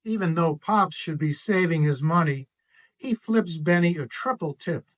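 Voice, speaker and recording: A man narrates a children's picture book in an expressive read-aloud style. His voice sits fairly high for a man, and he takes his time over the phrases. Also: unhurried. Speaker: author reading own picture book.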